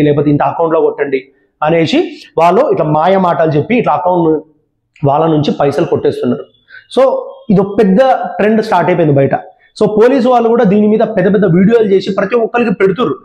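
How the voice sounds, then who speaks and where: A man's voice: fairly high pitch, a little fast, mellow, lo-fi sound. A man presenting a public awareness talk, giving earnest, repeated warnings straight to viewers. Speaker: channel presenter. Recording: roomy echo.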